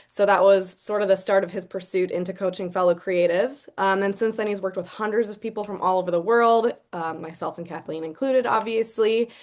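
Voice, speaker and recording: A woman's voice: medium pitch, steady tone, a little fast, hardly any pauses, darkish tone. A woman muralist and illustrator, speaking as a guest on a webinar in a chatty, friendly way. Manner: chatty, friendly, many fillers. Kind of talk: casual introduction on a webinar.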